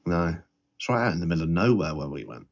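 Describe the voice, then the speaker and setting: A man's voice: deep voice, big pitch swings, quick pace, gravelly. A middle-aged man reminiscing casually and chattily, repeating phrases for emphasis. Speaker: interviewee sharing memories. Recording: dull, slightly lo-fi sound.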